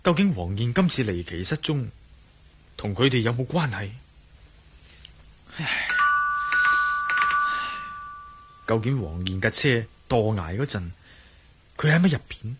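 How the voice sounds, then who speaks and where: An actor playing an earnest, preoccupied adventurer and investigator in a Cantonese radio drama, with a Hong Kong accent. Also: male voice, medium pitch, very animated, moderate pace, long pauses, very noisy, lightly acted. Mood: pondering, tense.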